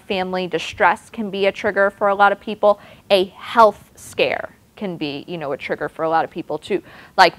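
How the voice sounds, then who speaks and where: A woman presenting on nutrition, answering audience questions in a chatty way with lots of "like" and "you know". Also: on the low side. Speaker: nutrition presenter at a clinic talk. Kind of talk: audience Q&A session.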